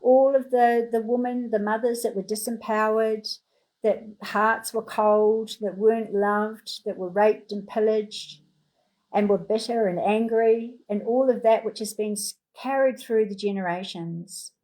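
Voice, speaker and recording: A woman guides a healing meditation in a soothing, unhurried voice. Her pitch sits in an ordinary middle range, and she moves through phrase after phrase of gentle repeated affirmations. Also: fairly even intonation, low-quality recording.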